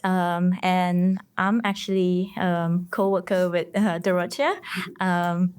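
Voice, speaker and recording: A young woman from Cambodia, a master's student guest on a podcast, answering slowly and hesitantly with many pauses. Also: lowish voice, level tone, darkish tone, clean tone. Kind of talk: interview answers.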